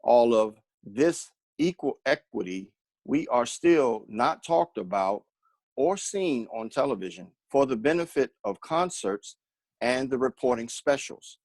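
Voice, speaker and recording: A man's voice: mid-range voice, expressive, measured pace, darkish tone, no echo. A man, an actor, reading a written memorial piece in a solemn, dramatic style.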